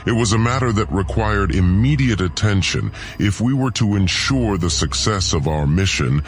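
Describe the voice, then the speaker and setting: A man giving scripted first-person dramatic narration in a solemn, measured, formal manner. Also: lowish voice, animated. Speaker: narrator and audio drama creator.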